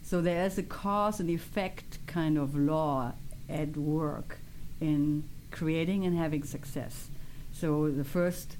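A woman success coach calmly explaining her ideas in a studio interview. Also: deep voice, a little hiss or hum, poor audio. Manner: calm, measured, explanatory.

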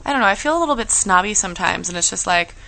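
A young woman hosting a casual chat show, telling stories in an animated, giggly way. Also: mid-range voice, racing, vocal fry, rough recording. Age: young adult. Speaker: show host.

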